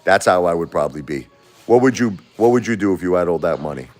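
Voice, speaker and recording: A man's voice: low voice, expressive, fast, soft background music. A man from Brooklyn, hosting a casual livestream, blunt and repeating himself as he answers viewers and spars with critics. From Brooklyn, New York. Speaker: livestream host. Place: home.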